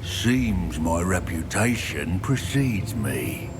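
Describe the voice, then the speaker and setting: An actor playing a menacing goblin rebel leader in a video game, speaking with cold command and deliberate threat. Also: male voice, low voice, very animated, music in the background, heavily acted. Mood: smug menace. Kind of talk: video game cutscene acting.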